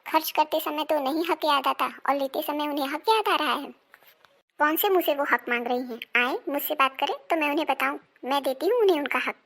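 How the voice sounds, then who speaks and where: A woman playing an emphatic, melodramatic married daughter-in-law in an animated family moral drama. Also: sits high, brisk. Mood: indignant, defiant. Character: indignant married woman, sharp-tongued wife and daughter-in-law.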